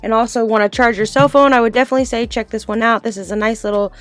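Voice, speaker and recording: A woman's voice: a little high, fairly even intonation, racing, faint music behind. A woman giving a casual product review and demonstration, explaining things informally and step by step.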